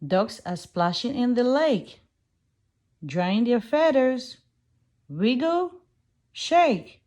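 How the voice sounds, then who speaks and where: A woman reading a rhyming children's farm book aloud in a slow, gentle way. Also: a little high, big pitch swings. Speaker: storybook reader.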